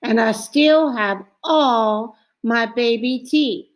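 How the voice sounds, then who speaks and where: A woman's voice: fairly high pitch, slow. A woman voicing an earnest seven-year-old schoolboy in a storybook, plain-spoken, patient and a little stubborn.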